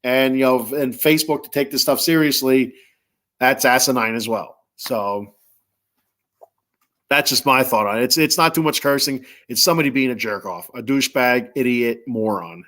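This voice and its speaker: A man with a stuffy nose hosts a live sports talk stream in a mid-pitched voice. He rambles loosely from thought to thought, bluntly and with plenty of casual swearing. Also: normal speed, fairly bright tone. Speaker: sports talk show host.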